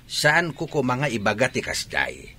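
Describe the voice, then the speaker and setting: A man's voice: mid-range voice, wildly swooping pitch, a little slow. A man with an Ilocano accent acting an irritable, gossipy scold in a radio drama. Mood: annoyed, griping.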